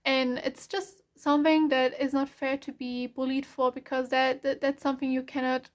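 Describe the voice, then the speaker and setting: A young adult woman with a German accent, a non-native English speaker, giving a casual tarot reading in a soft, rambling way with many fillers and self-corrections. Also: high voice, flat delivery, normal speed. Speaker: tarot reader.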